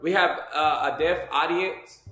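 Young male prank-call host, roomy room sound with music underneath, vague and halting official patter. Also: on the high side, fairly even intonation, sharp tone. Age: young adult. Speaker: host making prank phone calls. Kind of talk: casual prank call posing as a booking agent. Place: wood-panelled room indoors.

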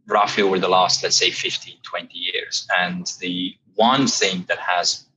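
A man's voice: low-pitched, little pitch movement, unhurried, pushed and bright, slight background noise, rough recording. A man in his thirties with an Estonian accent, a startup founder speaking on a video call, thoughtful and full of "uh" fillers. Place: home room indoors. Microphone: earbuds on a video call.

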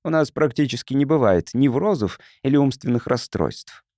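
A man reading as a proud, guarded male councillor in a science fiction audiobook. He explains things formally, with widely moving pitch, in a dry recording. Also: lively intonation, normal speed, dark tone, no room sound, natural voice. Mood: stiff, earnest.

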